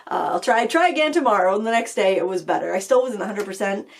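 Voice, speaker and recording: Middle-aged lady chatting at home, bit raspy from being sick, lots of ums and restarts. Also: medium pitch, lively intonation, fast talker. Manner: rambling, many fillers and restarts. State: recovering from illness, a little raspy. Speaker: craft channel host. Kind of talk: casual chat to camera.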